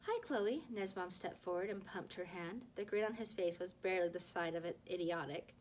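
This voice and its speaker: Woman, classroom read-aloud, children's fiction, expressive, occasional word stumbles, slight background noise. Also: fairly low pitch, brisk. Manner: animated dialogue, stumbles over words and corrects herself. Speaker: teacher reading aloud to a class.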